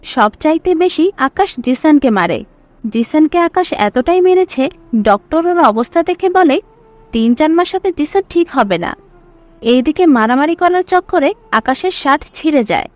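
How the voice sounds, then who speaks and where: A woman's voice: high voice, animated, normal speed. A woman reading scripted story narration as the narrator.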